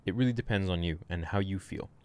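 A man's voice: low-pitched, fast, smooth. A young man casually answering fitness questions on a home livestream, rambling, frank and swearing freely, recorded by a desk mic in a small room. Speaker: fitness streamer.

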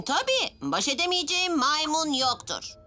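Innocent, very high-pitched little boy hero. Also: moderate pace, faint music behind, exaggerated voice. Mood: confident. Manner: innocent, expressive. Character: kind-hearted naive six-year-old superhero boy. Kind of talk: children's cartoon dub acting.

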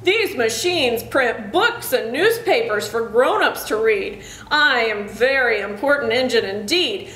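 A woman's voice: fairly high pitch, lively intonation, measured pace, fairly bright tone. Storybook casting: female reader as haughty freight engine, big, boastful and self-important, echoey room sound. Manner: pompous, boastful. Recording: echoey room with faint noise.

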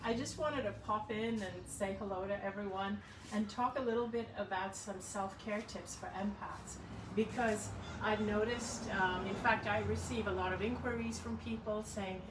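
Self-help vlog: older woman, earnest and warm, recorded outdoors on a phone a few feet away, with the hiss of the yard behind her. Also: medium pitch. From Hong Kong. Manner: warm, earnest, gestures a lot with her hands. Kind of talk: casual talk to camera. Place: sunny backyard garden outdoors. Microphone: phone camera at a few feet. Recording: distant outdoor mic with ambient noise.

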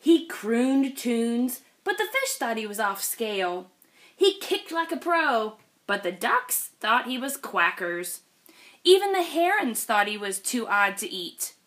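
A woman reading a children's picture book aloud in an expressive storytelling style. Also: a little high, slow. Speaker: read-aloud host.

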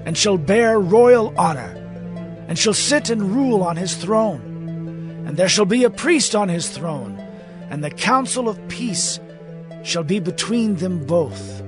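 Audio Bible prophet: high voice, very animated, earnest and declaiming, recounting visions in awe. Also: male voice, takes it slowly, over music. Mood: proclaiming.

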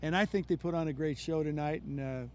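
Measured older coach analyst man. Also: a little high, a little hiss or hum. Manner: measured, with frequent fillers. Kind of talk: post-game sports analysis. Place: outdoors on a football field at night. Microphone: handheld mic.

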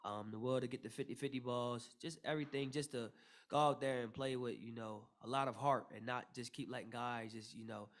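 A young man, a basketball player, answering questions at a postgame press conference into a table mic, with a steady delivery full of "you know" fillers and restarts. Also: medium pitch, a little fast. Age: young adult. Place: press room with logo backdrop. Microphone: table mic in front.

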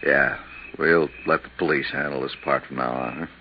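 An actor playing a brisk, businesslike insurance man in a 1950s radio drama, laconic and clipped. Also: a bass voice, fairly even intonation. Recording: muffled, lightly noisy 1950s radio recording.

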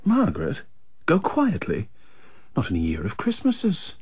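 A man's voice: a little high, wildly swooping pitch, measured pace, a little mellow, husky, dry recording, studio quality, exaggerated voice. A man with an English accent playing a troubled, conflicted government minister in a radio drama, confiding, hesitant and wry.

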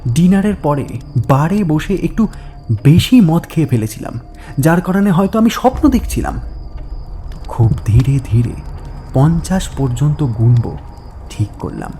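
A man narrating a horror story in the first person, suspenseful and dramatic, with halting pauses. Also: fairly high pitch, sing-song, warm tone, faint music behind.

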